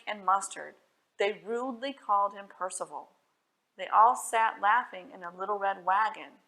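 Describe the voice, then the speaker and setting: Woman, storytime reader, fairly high voice, very lively intonation, expressive delivery. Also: poor audio. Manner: expressive, asks listeners questions. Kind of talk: animated children's storybook reading.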